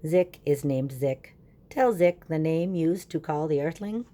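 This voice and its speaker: A woman's voice: sits low, animated, unhurried, dark tone. A woman voicing a friendly green alien in a children's storybook, formal and earnest, with stilted speech. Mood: formal greeting. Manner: stilted speech naming himself in third person.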